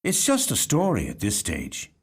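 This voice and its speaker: A man's voice: on the low side, wildly swooping pitch, normal speed, vocal fry, husky, heavily acted. A man voicing a reserved, principled young woman in a short story, speaking plainly and directly. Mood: cool, guarded.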